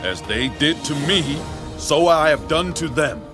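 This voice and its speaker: A man playing a vengeful young Hebrew strongman in a dramatised scripture reading, intense and declamatory with a gravelly voice. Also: fairly high pitch, very animated, unhurried. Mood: defiant. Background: music.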